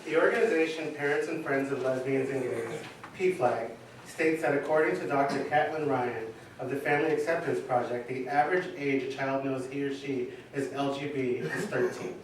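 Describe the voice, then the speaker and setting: A man's voice: mid-range voice, normal speed, slight background noise, lightly acted. A man playing a formal narrator, reading scripted facts in a staged reading, recorded far off in an echoey hall. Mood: matter-of-fact. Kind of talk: scripted narration, read aloud.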